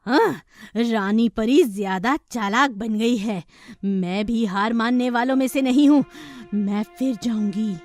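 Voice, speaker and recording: A woman voicing a vengeful, scheming evil sorceress in a children's cartoon story, with gloating, drawn-out menace. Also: fairly high pitch, a little mellow, natural voice. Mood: frustrated, determined. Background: music.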